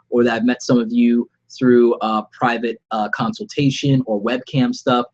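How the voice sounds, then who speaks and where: Nasal, husky man on a live stream. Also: mid-range voice, darkish tone, dull audio. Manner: conversational, upbeat advice-giving. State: congested, nasal from a cold; slightly sore throat. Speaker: vlogger. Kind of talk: unscripted live-stream vlog. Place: home studio room, alone. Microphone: webcam about arm's length away.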